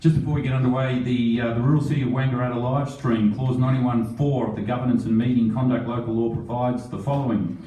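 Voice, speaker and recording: A man's voice: medium pitch, fairly even intonation, fast talker, hardly any pauses, warm tone. A man chairing a council meeting, formally reading the opening procedure with a few fillers. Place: council chamber. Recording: echoey chamber, mic far off.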